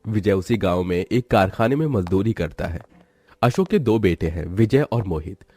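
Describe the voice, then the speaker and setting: A man's voice: deep voice, expressive, moderate pace, darkish tone, slightly rough, dry recording. A man reading scripted narration as the storyteller of a moral family story.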